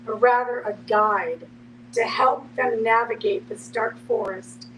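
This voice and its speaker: Deliberate woman, distant mic, noisy room. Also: a little high, steady tone, a bit bright. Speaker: panelist, end-of-life guide. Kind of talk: informal panel discussion.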